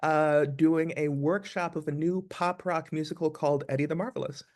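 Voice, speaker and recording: A man's voice: a little high, steady tone, moderate pace, a little mellow. Man chatting as an interview guest. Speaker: actor being interviewed. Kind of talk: casual interview chat.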